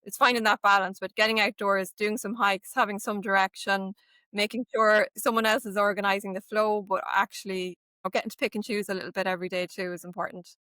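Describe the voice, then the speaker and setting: A woman's voice: medium pitch, flat delivery, brisk, bright, forceful tone, no echo. A woman with an Irish accent, guest on an interview, explaining things in a chatty way with many "like" and "um" fillers. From Ireland. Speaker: guest, walking tour operator. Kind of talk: casual interview chat.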